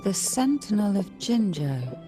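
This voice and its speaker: A woman playing a calm, analytical ally in a video game, speaking in a measured, thoughtful way. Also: mid-range voice, music in the background. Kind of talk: video game dialogue acting.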